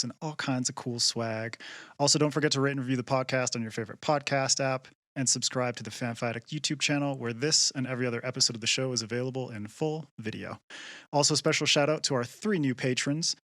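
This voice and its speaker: A man's voice: medium pitch, steady tone, brisk, a bit bright, no room sound. A male podcast co-host with a mid-range voice runs through plugs and questions a guest. He is friendly and keen, with a few restarts, and his voice sounds close and dry. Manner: friendly, enthusiastic, some stammering restarts. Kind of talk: podcast host reading intro, then interviewing. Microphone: video call.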